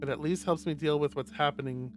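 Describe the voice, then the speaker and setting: A man's voice: on the high side, steady tone, fast. A man streaming a visual novel, reading first-person fiction aloud. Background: guitar music.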